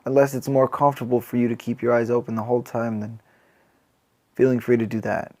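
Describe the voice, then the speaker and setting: Even-toned man guiding a meditation. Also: mid-range voice. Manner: calm, gentle, unhurried with long pauses. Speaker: mindfulness teacher. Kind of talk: guided body scan meditation.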